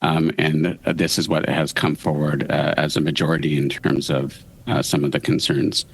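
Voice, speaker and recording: A man giving formal expert testimony, measured and hesitant, with technical wording. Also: rumbling low, little pitch movement, vocal fry, breathy edge. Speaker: expert witness at a committee hearing.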